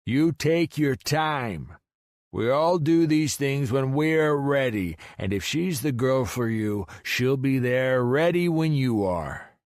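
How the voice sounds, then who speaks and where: A man voicing an easygoing, reassuring male buddy, drawn-out and laid-back, for a romance audiobook. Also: medium pitch, lively intonation, darkish tone, no echo, clean studio sound, pitched above the performer's own voice.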